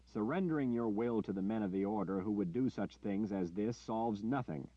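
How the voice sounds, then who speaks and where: A man voicing a commanding male warrior leader who speaks forcefully and emphatically. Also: fairly low pitch, moderate pace, no echo, lightly acted. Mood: urgent. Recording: dull dry lo-fi audio.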